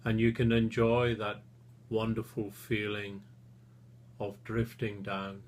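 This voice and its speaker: Guy doing guided relaxation, really slow and gentle, pretty even tone, lots of pauses. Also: fairly low pitch, slight background noise. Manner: soothing, gentle, with long pauses between short phrases. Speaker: therapist leading a relaxation session.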